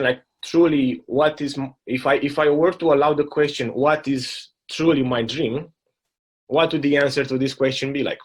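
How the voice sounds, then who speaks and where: A man with a Romanian accent telling a personal story as an interview guest, earnest and repeating phrases for emphasis. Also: mid-range voice.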